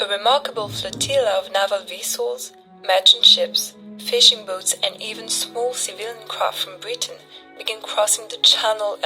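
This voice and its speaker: A woman's voice: mid-range voice, bright, forceful tone, low-quality recording. A woman gives scripted documentary narration as a newsreel reader. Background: background music.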